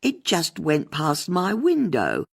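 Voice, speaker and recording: A reedy, mournful old woman who complains slowly and sadly about her aches, as a male storyteller puts her on. Her voice sits noticeably higher and a little husky. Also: wildly swooping pitch, heavily acted. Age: older. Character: frail mournful elderly woman caller.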